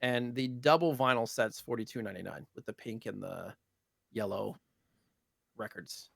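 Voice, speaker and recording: A man's voice: mid-range voice, steady tone, normal speed, gravelly, fairly bright tone, dry recording, studio quality. A man co-hosting a podcast, chatting casually in a loose, rambling conversational way. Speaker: podcast co-host. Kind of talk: casual chat about retro gaming news.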